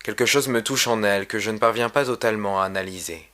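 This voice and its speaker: A man reading his own poem aloud in a solemn, measured, literary recitation. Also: lowish voice, fairly bright tone. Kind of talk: poetry recitation.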